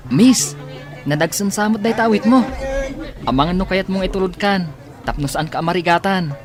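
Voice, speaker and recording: A man's voice: sits high, normal speed, music in the background, natural voice. An actor with a Filipino accent playing a stubborn young male porter in a radio drama. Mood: eager, friendly.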